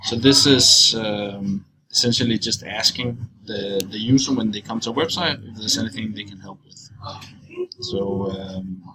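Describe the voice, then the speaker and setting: A young man giving an informal screencast walkthrough, explaining in a halting, loose way as he goes. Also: fairly low pitch. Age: young adult. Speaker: software walkthrough presenter. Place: indoors, at a computer. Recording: clearly noisy screencast recording.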